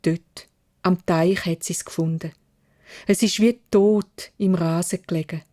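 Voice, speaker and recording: A woman with a Swiss German accent narrating a children's fairy tale in a measured, expressive way. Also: fairly low pitch. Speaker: storyteller.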